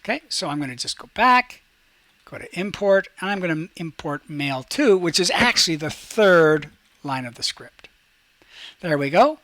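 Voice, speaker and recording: A man teaching a class, talking casually through each step of a software tutorial and checking in as he goes. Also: a little high, lively intonation, normal speed, no room sound. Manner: talks through each step while demonstrating, checks in with "okay".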